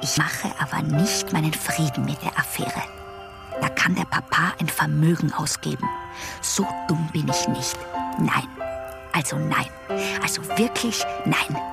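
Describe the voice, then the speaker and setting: An actress playing a bitter, defiant young patient's inner voice in a radio drama, scornful and self-scolding. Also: female voice, sits low, measured pace, creaky, over loud music, full character voice. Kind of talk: German radio drama monologue.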